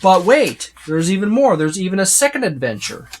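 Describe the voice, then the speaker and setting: A tabletop game reviewer giving a casual, unscripted overview, speaking haltingly with repeated words and trailing lists. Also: mid-range voice, big pitch swings. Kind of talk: casual unscripted book flip-through overview.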